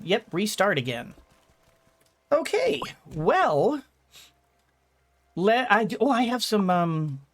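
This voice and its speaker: High-pitched man narrating his gameplay. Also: a bit bright. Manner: rambling, thinking aloud. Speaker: gaming video host. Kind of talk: casual let's-play commentary.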